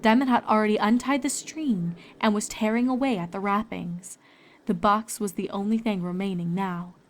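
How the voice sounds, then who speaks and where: A woman's voice: mid-range voice, normal speed. A woman reading scripted story narration as the narrator of a fan fiction.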